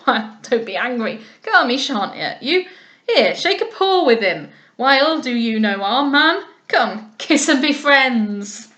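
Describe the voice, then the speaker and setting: A woman reader playing a boisterous, coarse old male sea captain who speaks loudly and laughs at others. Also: extremely high pitch, sing-song, takes it slowly, full character voice. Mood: mocking glee. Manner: loud, rough, laughing, countrified speech.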